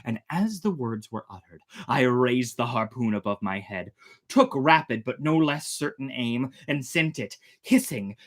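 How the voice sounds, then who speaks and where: A storyteller reading a tale aloud dramatically, theatrically swelling and hushing. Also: medium pitch, animated, a little slow. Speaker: storyteller, host.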